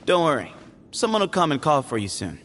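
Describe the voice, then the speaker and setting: A man voicing a sly, playful young man in a video game, teasing and evasive, with a relaxed manner. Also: a little high, brisk, slight background noise. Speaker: game character.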